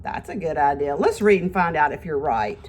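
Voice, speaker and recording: A woman's voice: sits low, animated, normal speed, darkish tone, a little hiss or hum. A woman teacher giving a read-aloud lesson to young children, speaking expressively in simple words and addressing them directly with questions and praise.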